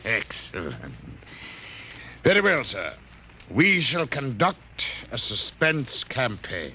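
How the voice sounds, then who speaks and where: An actor playing a courteous, self-possessed Martian businessman in a radio drama, speaking with formal, precise, measured diction. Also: mid-range voice, wildly swooping pitch, bright, forceful tone, heavily acted. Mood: courteous, businesslike. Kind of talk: old-time radio drama acting. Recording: noisy old radio recording.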